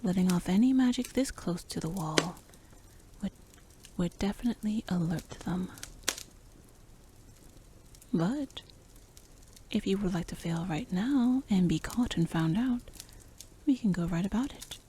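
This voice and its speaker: A woman performs a playful, cool-headed female rogue from a fantasy adventure. Her voice is soft and dark, often dropping to an intimate whisper. She takes her time with each teasing phrase, in ASMR roleplay style, over faint background noise. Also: lowish voice, expressive. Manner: teasing, unhurried, half-whispered.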